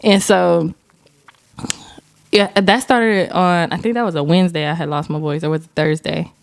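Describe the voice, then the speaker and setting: A young woman hosting a podcast, telling stories in an animated, laughing, profane way. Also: on the low side, moderate pace, vocal fry, dry recording. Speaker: podcast host. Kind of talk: casual chat.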